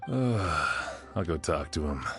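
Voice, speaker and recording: A weary working man in a video game. His voice is low, and he talks with dry, resigned humor over faint music. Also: very animated, very slow, fairly bright tone, heavily acted. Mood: weary, wry.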